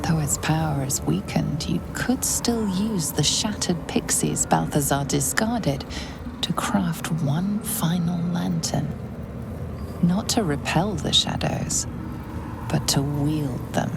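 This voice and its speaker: A woman voicing a solemn, knowing narrator of a fantasy game, measured and portentous, quietly tempting. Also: sits low, gravelly, music in the background, heavily acted.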